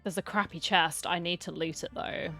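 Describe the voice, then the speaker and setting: A woman's voice: on the low side, steady tone, normal speed, bright, forceful tone. A woman doing casual let's-play commentary, chatty and talking back to the game. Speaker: gamer, streamer. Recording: game music behind the voice.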